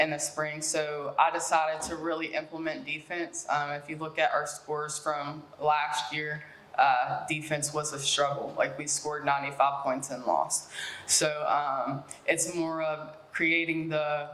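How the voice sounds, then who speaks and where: A woman's voice: low voice, roomy sound, a little hiss or hum, rough recording. A woman head basketball coach from West Virginia, answering media questions in an easygoing way with lots of fillers. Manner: relaxed, many fillers and restarts. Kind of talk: media day interview answers.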